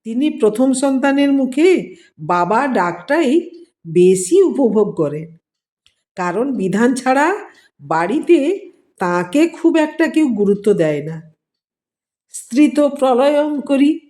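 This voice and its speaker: A woman narrates a story in a slightly high, soft-toned voice. She takes her time, setting out each phrase deliberately like a storyteller reading aloud. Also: sing-song. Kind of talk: scripted narration of a family drama.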